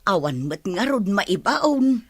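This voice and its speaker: Radio drama: older mother, exasperated and complaining, raspy husky tone, very dry recording. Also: medium pitch, big pitch swings, slow, no echo, heavily acted. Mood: grumbling, regretful. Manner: grumbling, exasperated. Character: suspicious, grumbling older mother of a married daughter.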